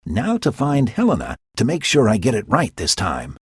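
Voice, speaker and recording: Man doing a giggly, scatterbrained male sprite, breathy, lilting, storybook. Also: mid-range voice, wildly swooping pitch, moderate pace, no echo, studio quality, full character voice. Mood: amused. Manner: chatty asides with giggles.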